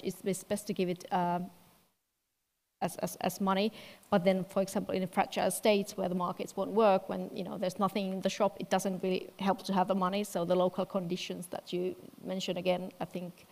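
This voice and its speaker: Flat-voiced woman panelist in hall. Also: fairly low pitch. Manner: steady, with frequent "you know" fillers. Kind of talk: panel discussion. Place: large event hall on stage. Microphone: headset mic. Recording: long hall reverb.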